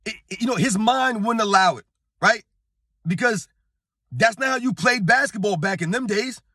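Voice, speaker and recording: A middle-aged man with a hoarse, worn-out voice rants to his viewers about sports. His voice sits high and sounds tense and bright, and he keeps calling them "guys" as he hammers his point home. The sound is close and dry, with no room around it. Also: normal speed, no echo. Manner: emphatic, repetitive, addresses viewers as guys. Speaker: sports channel host. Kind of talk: casual talking-head rant. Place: on vacation at a beach.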